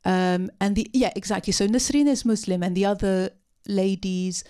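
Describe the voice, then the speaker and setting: A woman speaking unscripted at a post-film discussion, answering audience questions with many pauses, ums and restarts. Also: medium pitch, fairly even intonation, dark tone, smooth. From part Syrian.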